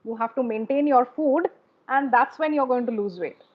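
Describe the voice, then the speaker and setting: A woman's voice: sits high, normal speed, dull audio. A woman with an Indian English accent and Bengali as her first language, coaching English in an emphatic, repetitive, teacherly way. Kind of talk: instructive conversation.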